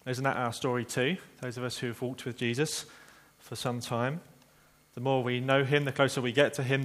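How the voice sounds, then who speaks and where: A man preaching a sermon, telling a story earnestly and slowing down quietly for emphasis. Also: mid-range voice.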